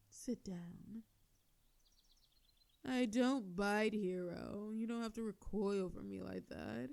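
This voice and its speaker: A woman's voice: mid-range voice, vocal fry, mellow, exaggerated voice. A woman playing a sardonic telepathic supervillain in a scripted audio drama, with a theatrical, teasing way of drawing out her words. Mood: dawning sympathy, then gentle, commanding. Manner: theatrical, taunting, drawn-out words. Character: sardonic female telepathic supervillain, menacing yet softening.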